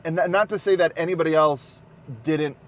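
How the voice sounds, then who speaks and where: A man's voice: a little high, steady tone, a little fast. A man talking casually as a guest film reviewer, unscripted and emphatic, often repeating his phrases. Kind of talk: casual, unscripted movie discussion.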